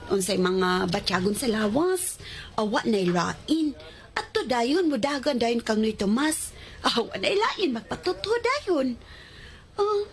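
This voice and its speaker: An actress playing a chatty, hesitant young student in a radio drama, with a Cebuano Filipino accent and a coy, gossipy manner. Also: female voice, high voice.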